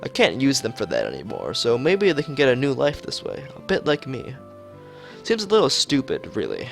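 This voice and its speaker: A man streaming a visual novel, reading its script aloud haltingly, stumbling and repeating phrases, with casual asides. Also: mid-range voice, huge pitch swings, gravelly, faint music behind.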